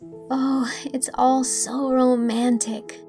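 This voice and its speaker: A dreamy, sentimental young woman, as a woman reader plays her in a storybook drama. Her voice is high and breathy, full of idealism, with a little creak. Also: level tone, very slow, warm tone, soft background music, exaggerated voice. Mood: rapturous, romantic. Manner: breathy, rapturous. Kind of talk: dramatised audiobook acting.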